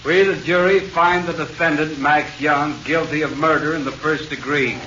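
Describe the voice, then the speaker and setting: An actor playing a dutiful, formal jury foreman with measured delivery in 1940s radio drama. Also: fairly high pitch, steady tone, lightly acted. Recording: echoey, muffled, noisy 1940s radio recording.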